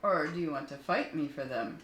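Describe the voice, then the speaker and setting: A grouchy little ladybug from a children's storybook, put on by a woman reader. It is bossy and loud, throwing out blunt challenges in a fairly low female voice. The sound is roomy, with faint noise. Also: normal speed. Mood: bossy, defiant.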